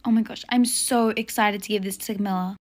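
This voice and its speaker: A woman's voice: mid-range voice, fairly even intonation, a little fast, lightly acted. A woman with an Australian accent, playing an exasperated mother of a teenage daughter in a homemade family skit, scolding and pleading by turns. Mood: excited.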